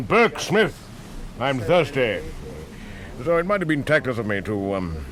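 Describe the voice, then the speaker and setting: An actor in a radio drama playing a vague, gossipy upper-class English gentleman who mutters, halts and clears his throat. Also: on the high side, lively intonation, exaggerated voice. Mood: confidential, awkward. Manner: muttering, halting, throat-clearing, tagging on eh and hmm.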